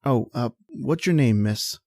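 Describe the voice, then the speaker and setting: A man's voice: mid-range voice, lively intonation, measured pace, a little mellow, no echo. An actor playing a shaken, folksy working man making a hesitant, colloquial phone report in a radio drama. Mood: uneasy, bewildered. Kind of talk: old-time radio drama acting.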